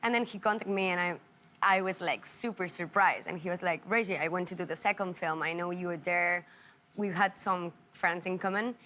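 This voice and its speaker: A woman's voice: fairly low pitch, moderate pace, sharp tone. A young woman from Spain with a Spanish accent, eagerly telling her story as a TV guest. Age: young adult. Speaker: shark conservationist guest.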